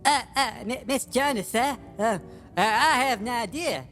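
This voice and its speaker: A woman's voice: on the high side, moderate pace, fairly bright tone, faint music behind, lightly acted. A woman voicing a nervous, eager, sweet-natured cookie man who stammers deferentially in a comedy audio drama.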